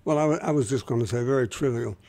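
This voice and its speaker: A man's voice: mid-range voice, fast talker, warm tone. A man hosting a radio interview, chatty and wry in his questions. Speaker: radio talk show host.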